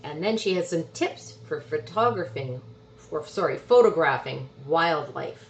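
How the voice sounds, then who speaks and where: Lady reading a kids book out loud, really up and down, takes her time, some background noise. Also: low-pitched, muffled recording. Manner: animated, drawn-out words for emphasis. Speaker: storybook reader. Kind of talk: expressive children's picture book read-aloud.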